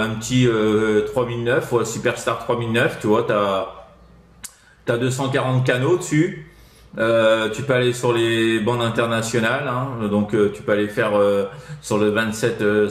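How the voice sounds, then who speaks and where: A man with a French accent from France, chatting casually as a CB radio operator giving advice, in a hesitant, rambling way full of tag words. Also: lowish voice. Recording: roomy echo.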